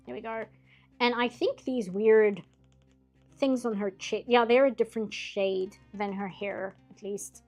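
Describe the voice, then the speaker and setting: A woman from Britain chatting casually while drawing on a stream, rambling and laughing often, her voice getting a bit hoarse late at night. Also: fairly high pitch, fairly even intonation, measured pace, a little mellow, soft background music.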